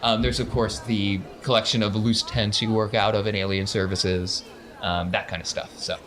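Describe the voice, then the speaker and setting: A man's voice: on the low side, flat delivery, normal speed, fairly bright tone. A man narrating a tabletop game as game master in a casual, improvised, wry conversational way.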